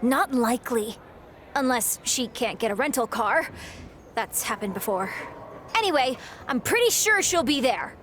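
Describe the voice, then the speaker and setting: A woman's voice: fairly high pitch, expressive, a little slow, a bit bright, a little hiss or hum. An actress playing an eager, boastful young female wrestler in a video game cutscene, chatty and upbeat with a defiant edge. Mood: upbeat, defensive.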